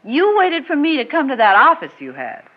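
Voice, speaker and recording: A woman's voice: high-pitched, wildly swooping pitch, a little fast. An actress playing a proud, guarded woman resisting an old flame, genteel and a little defensive, in classic radio drama style. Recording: muffled old radio recording.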